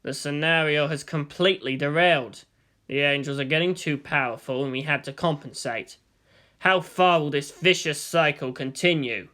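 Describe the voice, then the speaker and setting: A narrator voicing a cold, calculating middle-aged male commander in a slow, deliberate, grave manner. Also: medium pitch, pushed and bright, natural voice. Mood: cold, brooding.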